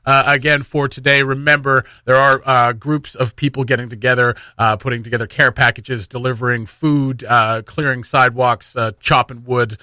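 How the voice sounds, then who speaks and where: A man's voice: mid-range voice, fairly even intonation, normal speed, few pauses, sharp tone, dry recording. A man hosting a morning radio show in an informal, jokey way with casual banter. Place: dry radio studio.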